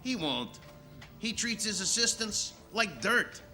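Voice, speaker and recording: Sharp, brusque newsroom boss, male. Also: sits high, very animated, faint music behind, exaggerated voice. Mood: sardonic. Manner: brisk, sarcastic, commanding. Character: brusque, sardonic male news editor boss. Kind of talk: radio drama dialogue.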